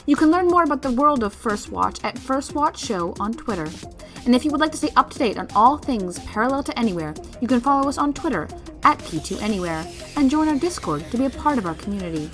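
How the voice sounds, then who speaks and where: A woman's voice: fairly high pitch, sing-song, fast talker, a bit bright. A woman announcer reading scripted podcast credits. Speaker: show announcer. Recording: music behind the voice.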